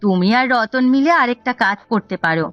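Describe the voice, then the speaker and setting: A woman plays a conniving village wife in a cartoon story. She nags and goads insistently in a fairly high voice. The recording is muffled and lo-fi, with soft music behind. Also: expressive, a little fast, dry recording. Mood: scheming. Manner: insistent, goading. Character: conniving, nagging village wife of elder brother. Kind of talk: Bengali cartoon story dubbing. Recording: dull lo-fi audio, music bed.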